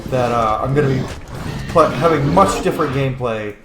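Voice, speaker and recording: Male gamer, casual roleplay commentary, medium pitch, echoey room, game ambience. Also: fairly quick. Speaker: gamer, roleplay let's-play host. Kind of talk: casual gameplay commentary with in-character asides. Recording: roomy echo, faint background noise.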